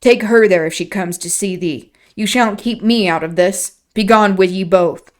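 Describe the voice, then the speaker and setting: A woman voicing a rough young farmhand, harsh and barely articulate with rage. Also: mid-range voice, normal speed, close to an everyday voice. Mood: furious.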